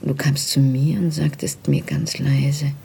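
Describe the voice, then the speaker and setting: An actress playing a tender, resigned wife facing arrest in a radio drama, hushed and breathy, speaking solemnly and intimately. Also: female voice, rumbling low, level tone, a little slow, slight background noise, heavily acted. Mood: wistful. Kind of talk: literary radio drama acting.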